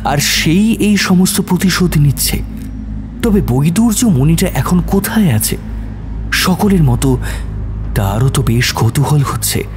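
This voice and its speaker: A man's voice: a little high, lively intonation, measured pace, music in the background. A man narrating a scripted suspense story in a dramatic style.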